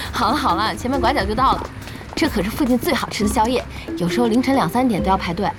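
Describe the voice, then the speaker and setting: An excitable young woman from an audio drama. She is bossy and expressive, in a high voice that swings widely. Music runs underneath. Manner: expressive, chiding, exclamatory.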